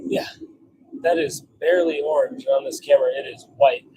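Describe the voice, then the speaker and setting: A man's voice: medium pitch, fairly even intonation, moderate pace, noisy background. A man chatting offhand on a livestream as he works in a workshop, grumbling and self-deprecating. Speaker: livestream host and hobby blacksmith. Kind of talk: casual live chat while working. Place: home forge workshop.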